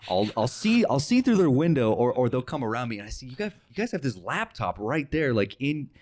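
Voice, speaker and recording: A man's voice: mid-range voice, very animated, a little fast, darkish tone. A young man in a casual podcast chat, speaking informally and wondering aloud. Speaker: podcast guest, radio sales associate.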